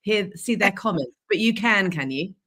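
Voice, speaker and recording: A woman with an English accent speaks as a podcast guest over a video call. Her voice sits in a middle range, and her talk is lively and easy, with ready laughter. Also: mid-range voice, moderate pace. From England. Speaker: guest, leadership coach. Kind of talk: conversational interview.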